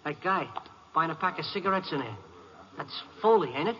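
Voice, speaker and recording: A man's voice: fairly high pitch, expressive, fairly quick, pushed and bright. An actor playing a cocky but cowardly convict in a 1950s American radio drama, talking in tough-guy slang. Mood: uneasy. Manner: slangy tough-guy talk, stammering when frightened. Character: cocky male convict, boastful, cowardly when threatened. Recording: muffled, noisy 1950s radio recording.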